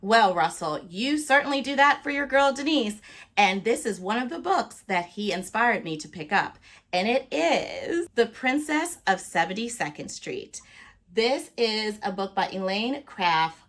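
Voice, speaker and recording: A woman talking casually to viewers as a book vlogger, with an expressive, playful and emphatic delivery. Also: on the high side, measured pace. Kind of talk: casual, chatty talk to viewers.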